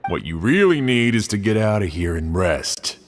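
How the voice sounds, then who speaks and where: Male game officer, brisk, commanding, lively intonation, long reverb with faint ambience. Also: lowish voice, a little hiss or hum. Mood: firm concern. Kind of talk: scripted video game voice acting.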